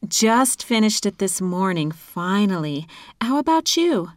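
A woman playing a student in a scripted exam dialogue, with a bright, cheerful delivery. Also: medium pitch, very animated, a little slow, dry recording, studio quality.

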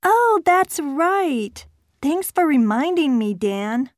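A woman voicing students, a professor and an office clerk in scripted exam dialogues, with a clear, polite delivery. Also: high voice, sing-song, slow, no echo, clean studio sound.

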